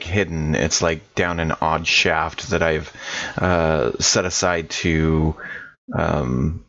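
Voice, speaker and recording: A man playing a tabletop game, speaking in character with hesitant, halting delivery and many fillers. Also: very deep voice, fairly bright tone, dull audio. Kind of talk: in-character roleplay with out-of-character asides.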